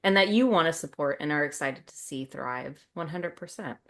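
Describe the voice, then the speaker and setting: A woman's voice: deep voice, expressive, moderate pace, a bit bright. A woman co-hosting a casual chat show in an effusive, emphatic, cheerleading way. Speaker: podcast co-host.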